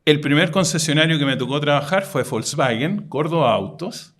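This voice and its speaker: A middle-aged man, recounting his career in steady detail as a podcast co-host. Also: on the high side, normal speed. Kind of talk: casual podcast chat.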